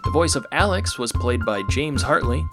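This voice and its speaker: A man's voice: mid-range voice, lively intonation, normal speed, over loud music. A man reading scripted end credits as an announcer.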